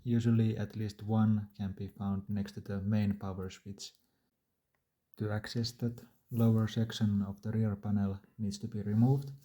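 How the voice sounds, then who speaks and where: A man's voice: lowish voice, level tone, measured pace, warm tone. A man narrating a do-it-yourself repair tutorial in a plain, matter-of-fact, step-by-step way. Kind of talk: instructional DIY narration.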